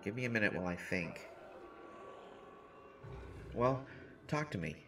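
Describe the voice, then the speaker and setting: Small, wisecracking teen boy, a man acting him, lowish slow voice, audio drama over noisy hiss. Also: very animated. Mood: chatty. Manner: rambling, self-mocking, nervous humor. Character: short teenage boy, joking and self-deprecating. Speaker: voice actor in an audio roleplay. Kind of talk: listener-directed audio roleplay.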